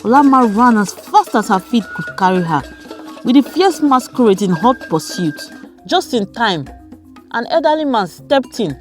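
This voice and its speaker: Animated woman storyteller. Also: on the high side, normal speed, soft background music. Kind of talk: scripted children's folktale narration.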